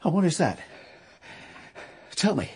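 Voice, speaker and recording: An actor playing a paranoid, agitated writer in a radio drama, obsessive and suspicious, with an erratic, accusing delivery. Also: male voice, on the high side, big pitch swings, a little slow, slight background noise.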